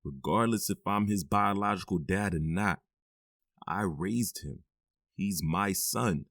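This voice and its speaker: A man's voice: low voice, slow, fairly bright tone, dry recording, clean studio sound, full character voice. An actor playing a firm but loving middle-aged stepfather who sighs often and talks wearily. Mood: confiding. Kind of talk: audio drama acting.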